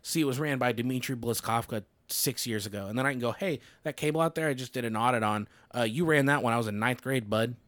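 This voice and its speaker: A man's voice: medium pitch, fairly bright tone, dry recording. Guy in his 20s, lots of ums, playful, dry close sound. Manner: halting, many ums, playful. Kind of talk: casual chat.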